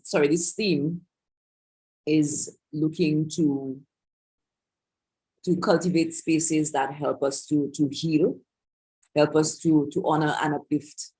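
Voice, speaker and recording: Husky-voiced woman hosting an online Q&A. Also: sits low, fairly even intonation, a little slow, dark tone, rough recording. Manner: rambling, with many restarts and asides. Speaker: conference organiser hosting a Q&A. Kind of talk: live-streamed online Q&A talk. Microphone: video call.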